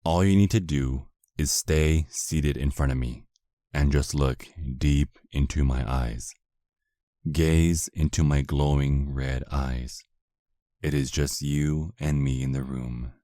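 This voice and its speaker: A man playing a polite, seductive vampire husband in an ASMR roleplay drama, often dropping into a hushed, hypnotic manner. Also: extremely low pitch, steady tone, slow pace, dry recording, clean studio sound. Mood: hypnotic, commanding.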